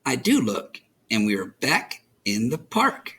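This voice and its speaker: A man reading a children's picture book aloud as an expressive storyteller, enunciating for young listeners. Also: mid-range voice, measured pace, vocal fry.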